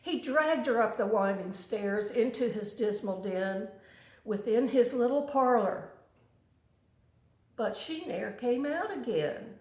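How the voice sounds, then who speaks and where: Slow, expressive older woman reading aloud. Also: on the high side, roomy sound, rough recording. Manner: unhurried, dramatic storytelling. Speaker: grandmother reading to children. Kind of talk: expressive storybook poem reading.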